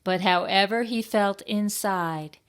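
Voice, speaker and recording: A woman reading a picture book aloud to young children at a library storytime, expressively, pausing to ask them questions. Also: medium pitch, fairly bright tone, clear, resonant tone.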